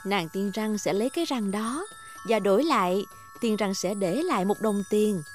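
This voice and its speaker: A woman voicing a kind, motherly mummy pig in a Vietnamese cartoon dub, with a gentle, expressive way of talking. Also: medium pitch, moderate pace, faint music behind, natural voice.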